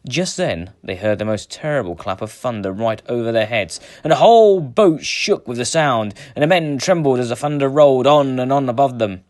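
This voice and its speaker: A man reading a children's story aloud with a dramatic, expressive delivery. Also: medium pitch, normal speed, hardly any pauses, dry recording, studio quality. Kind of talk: animated children's story narration.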